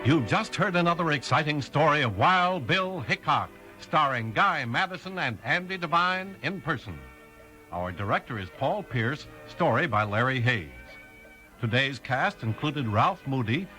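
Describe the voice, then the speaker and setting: A man's voice: mid-range voice, animated, a little slow, pushed and bright, faint music behind, low-quality recording. A man announcing a 1950s radio program in a polished, emphatic, scripted style.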